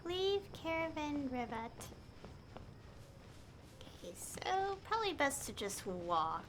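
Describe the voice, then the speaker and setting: A woman's voice: sits high, big pitch swings, takes it slowly, noisy background. A woman streamer giving casual commentary as she plays a game and reading bits of its text aloud. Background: faint game music.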